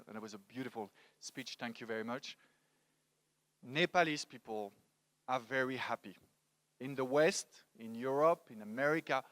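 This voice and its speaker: A Frenchman in his forties gives a motivational talk from a conference stage. His accented English comes out in a bright, forceful voice, halting and then leaning on repeated words for emphasis. The handheld mic keeps the sound close and dry. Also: medium pitch, dry recording. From France, grew up in Paris. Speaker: conference speaker, storytelling coach. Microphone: handheld microphone.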